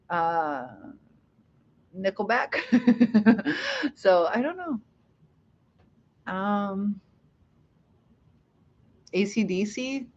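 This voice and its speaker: Slow-talking chatty middle-aged Ohio woman. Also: mid-range voice. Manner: chatty, rambling, laughs often. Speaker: livestream host. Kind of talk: casual live chat, reading comments. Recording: dull lo-fi livestream audio.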